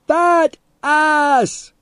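A man voicing a defiant, mouthy young boy in a story, jeering and shouting to pick a fight. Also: fairly low pitch, drawn-out words, dry recording, clean studio sound.

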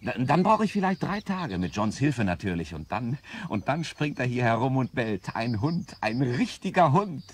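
A man's voice: mid-range voice, sing-song, normal speed, hardly any pauses, lightly acted. An actor playing a mild, conscientious hobbyist father in a 1970s German radio play. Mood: placating, eager. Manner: mild, placating. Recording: vintage radio recording, roomy, faint noise.

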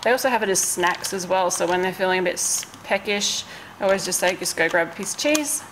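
A woman's voice: on the low side, fast, fairly bright tone, poor audio. Casual Australian woman, slight background noise. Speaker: vlogger. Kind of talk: casual chat, narrating a grocery haul.

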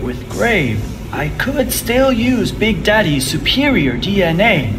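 A man's voice: high-pitched, sing-song, takes it slowly, noisy background, exaggerated voice. A man playing a weary elderly scientist, grave and methodical, in a video game cutscene. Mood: grave, concerned. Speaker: doctor.

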